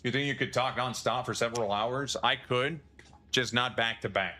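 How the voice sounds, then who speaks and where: Man, streamer, casual rambling chat, forceful bright tone, mid pitch, swears and chuckles. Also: moderate pace.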